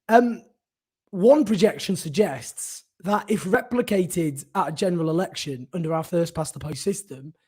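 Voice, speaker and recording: Hoarse man, breathy and emphatic. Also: fairly high pitch, mellow. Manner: emphatic and indignant, with ums and repeated words. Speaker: political commentator and show host. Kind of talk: casual political monologue to camera.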